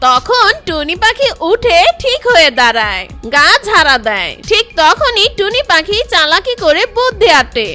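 A woman's voice: very high voice, sing-song, measured pace, few pauses, sharp tone, soft background music. A woman giving scripted narration for a children's cartoon story.

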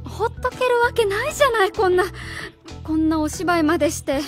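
A woman performs an earnest, suspicious schoolteacher in a Japanese radio drama. Her high voice is precise and courteous as she interrogates. Music plays faintly behind her. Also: expressive, brisk. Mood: urgent concern. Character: earnest, suspicious female schoolteacher on a home visit.

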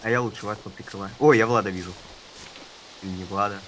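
A man's voice: on the low side, steady tone, quick pace, a bit bright, a little hiss or hum, rough recording. A slightly ill man chatting casually with teammates during a group gaming session.

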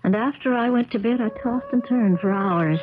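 A woman's voice: fairly high pitch, moderate pace, natural voice. An actress in a 1970s radio drama playing an uneasy, genteel young woman visiting her uncle. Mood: reflective narration. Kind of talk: 1970s radio drama acting, first-person narration and dialogue. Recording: dull crackly 1970s radio recording, music behind.

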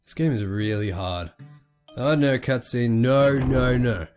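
Excitable young man swearing at a game. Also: medium pitch, unhurried, over music. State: sick. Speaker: gaming YouTuber. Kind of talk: casual let's play commentary.

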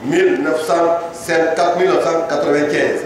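Homme lisant un rapport dans une salle qui résonne. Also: male voice, fairly high pitch, steady tone, crowd noise. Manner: measured reading, lists of dates and numbers. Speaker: parliamentary committee rapporteur. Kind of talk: reading a formal report aloud. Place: large hall, indoors. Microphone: several podium microphones, recorded from across the room. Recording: echoey hall from far off.

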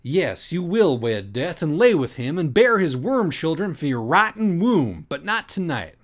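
A man plays an imperious storybook doctor. The voice is raised in pitch, loud and grandiloquent, issuing morbid commands. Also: very animated, a little slow. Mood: commanding.

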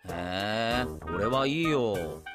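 Sarcastic, animated anime teenage boy. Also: fairly low pitch, extremely slow, music in the background. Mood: dry, deadpan. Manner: blunt, dry, explosive retorts. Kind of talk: anime audio drama acting.

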